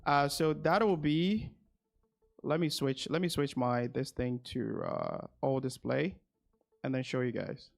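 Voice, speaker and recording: A man's voice: medium pitch, a little slow, vocal fry. A man streaming as a game guide host, casually commentating and repeating his phrases as he thinks aloud. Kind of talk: casual gameplay commentary with asides to viewers.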